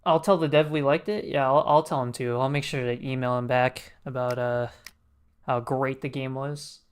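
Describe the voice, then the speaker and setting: A man's voice: medium pitch, steady tone, normal speed, clean tone. A man livestreaming a game with casual, joking commentary, reacting off the cuff. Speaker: streamer. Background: game music.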